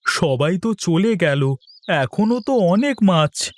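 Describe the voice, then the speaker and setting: A man's voice: high voice, animated, slow. A man voicing an eager, friendly village uncle in a cartoon, with an exclaiming manner. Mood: amazed. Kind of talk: cartoon voice acting.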